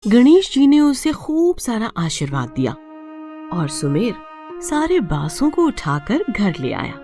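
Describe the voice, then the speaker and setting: Female narrator, children's moral tales: mellow, slow, very animated pitch. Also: soft background music. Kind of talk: scripted children's moral story narration in Hindi.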